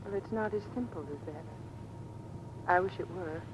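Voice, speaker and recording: A woman acting an emotional, halting skipper's wife in a vintage radio drama. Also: muffled recording, natural voice. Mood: hurt, pleading. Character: troubled, self-sacrificing wife of a naval skipper. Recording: noisy vintage radio, engine rumble behind.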